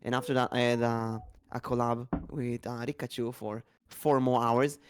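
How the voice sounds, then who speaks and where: A man's voice: medium pitch, little pitch movement, normal speed, clear, resonant tone, dry recording, clean studio sound. Chatty man hosting a podcast. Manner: chatty, rambling, playful. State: slightly raspy, tired voice. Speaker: podcast host. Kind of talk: casual podcast chat.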